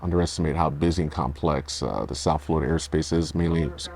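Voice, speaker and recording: A man with a low-pitched voice answers interview questions as an air traffic controller. He explains procedures informally and practically, often pausing and repeating a word before he carries on. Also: fairly even intonation, a little mellow.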